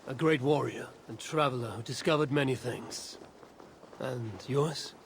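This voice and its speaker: A man's voice: medium pitch, measured pace, vocal fry, a little hiss or hum. An actor playing a bold, self-assured male Viking warrior in a video game, speaking tersely in formal, archaic phrases. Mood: proud. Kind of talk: dramatic video game dialogue.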